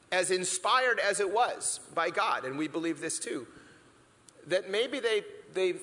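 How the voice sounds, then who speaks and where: Bright-voiced preacher in a reverberant hall. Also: male voice, a little high. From Philippines. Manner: reflective, asks rhetorical questions, frequent restarts. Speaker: pastor. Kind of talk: conversational sermon. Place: church hall with an audience.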